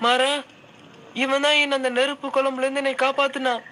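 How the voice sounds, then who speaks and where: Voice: high voice, flat delivery, fairly quick, bright, forceful tone, a little hiss or hum. An actor voicing an earnest, pleading young boy, a loyal little brother in a Tamil cartoon dub. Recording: music behind the voice.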